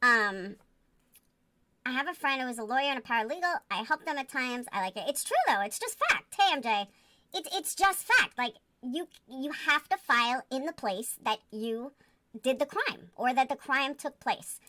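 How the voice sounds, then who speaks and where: A woman's voice: sits high, expressive, normal speed, pushed and bright, no echo. A woman giving casual, opinionated livestream commentary in a blunt, emphatic and self-assured manner. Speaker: online commentator.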